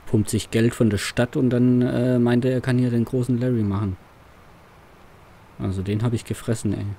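A man's voice: mid-range voice, flat delivery, normal speed, vocal fry, dark tone, a little hiss or hum. A man, roleplaying a farmer and muttering grumbling commentary to himself. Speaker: farmer roleplayer, let's player.

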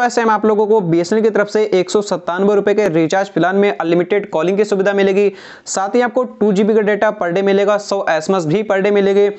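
Brief: young man with a high voice, steady explainer who keeps calling viewers friends, slightly dull lo-fi lapel sound. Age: young adult. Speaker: tech channel host. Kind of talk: explaining phone recharge plans to camera. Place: small indoor room, red backdrop. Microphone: clip-on lapel mic.

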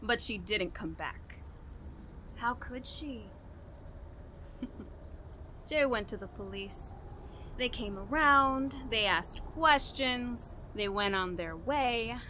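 Bright, high-voiced unhinged murderess. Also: female voice, animated, a little hiss or hum, exaggerated voice, poor audio. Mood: smug, brooding. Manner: dramatic, halting, broken by manic laughter. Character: unhinged guilt-ridden murderer confessing before suicide. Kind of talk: old-time radio horror drama monologue.

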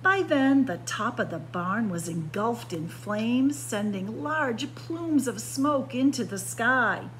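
A woman's voice: fairly high pitch, animated, slow, hardly any pauses, slight background noise. A woman reading a children's picture book aloud like a story-time librarian, expressively, with dramatic emphasis on key words.

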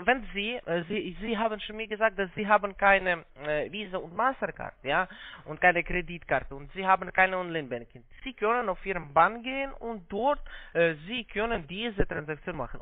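Guy w foreign accent on a bad phone line, kinda high voice, halting sales talk, dodges stuff. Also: dry recording. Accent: foreign accent in German. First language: not German. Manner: halting, broken grammar, evasive. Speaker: call-centre scammer posing as trading platform agent. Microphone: telephone. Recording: muffled tinny phone line.

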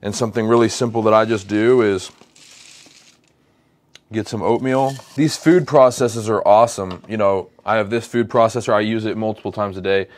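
A man's voice: lowish voice, steady tone, normal speed. A man in his thirties with an American accent, chatting conversationally in a casual vlog and repeating phrases for emphasis. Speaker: lifestyle vlogger. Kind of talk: casual vlog chat while cooking. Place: home kitchen, indoors.

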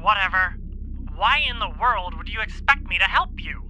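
A man's voice: high voice, lively intonation, normal speed, bright, forceful tone, slight background noise, heavily acted, low-quality recording. A man playing a nerdy, self-important young shop clerk in an audio drama, snarky and petulant. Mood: scornful, smug.

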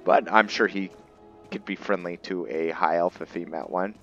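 A man giving casual roleplay gameplay commentary, thinking aloud in short, halting phrases as he plays. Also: low voice, fairly even intonation, fairly bright tone, highs cut off. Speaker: gaming video host, player. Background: game music.

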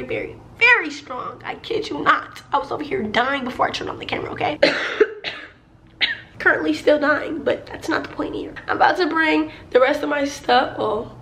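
A young woman, hoarse from losing her voice, chatting animatedly to a handheld camera in an empty apartment. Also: sits high, normal speed, slight background noise. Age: young adult. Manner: animated, exclaims and repeats words for emphasis. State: hoarse, lost voice. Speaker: vlogger. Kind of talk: casual vlog chat to camera. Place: empty apartment bedroom, indoors. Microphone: handheld camera at arm's length.

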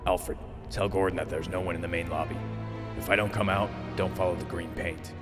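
A man's voice: sits low, steady tone, brisk, over music, natural voice. A man playing a grim, resolute vigilante hero in an audio drama, speaking in a terse, stern way.

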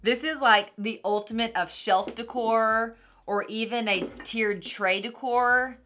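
Bright-voiced Texan woman, gushing and animated. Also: a little high, a little slow. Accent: Texan American. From Texas, United States. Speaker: unboxing video host. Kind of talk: casual product unboxing chat. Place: small decorated room at a table, indoors.

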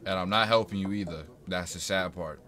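A man's voice: sits low, a little fast, very noisy. An American man doing casual, slangy gameplay commentary, swearing and brashly reacting to the game. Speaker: gamer streamer. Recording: game sounds behind the voice. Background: game ambience and horse hooves.

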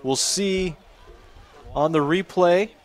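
A man's voice: high voice, takes it slowly. Man calling a ski contest live. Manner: steady, informative, reads out scores. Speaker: play-by-play commentator. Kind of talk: live sports commentary.

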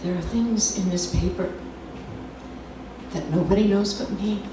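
An actress performing a theatrical monologue as a lonely, nervous, confined wife slipping into obsessive madness, halting and dramatic with drawn-out words. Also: female voice, medium pitch, lightly acted. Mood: strained, confiding. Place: live performance in a cafe venue. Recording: very echoey room, distant mic, noisy.

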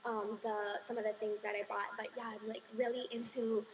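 A woman's voice: mid-range voice, little pitch movement, normal speed, distant mic, slight background noise. A young woman chatting casually through a shopping haul, bubbly and full of fillers. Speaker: beauty vlogger. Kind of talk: casual haul video chat.

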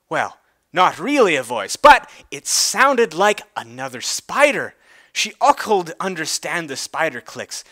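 Theatrical, high-pitched man reading a story. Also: pushed and bright, no room sound. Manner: theatrical, mock-serious delivery of clumsy prose. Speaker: reader. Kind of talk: mock-dramatic storybook reading.

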